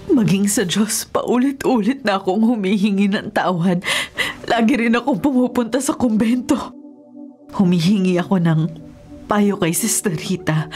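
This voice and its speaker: A woman's voice: mid-range voice, darkish tone, natural voice. An actress playing a remorseful, devout middle-aged mother with a Filipino accent, earnest and solemn, in a radio drama.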